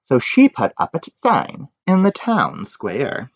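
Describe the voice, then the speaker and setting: A man's voice: mid-range voice, unhurried. A man reading a children's picture book aloud, telling it expressively with casual asides. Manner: expressive storytelling. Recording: muffled, echoey room recording.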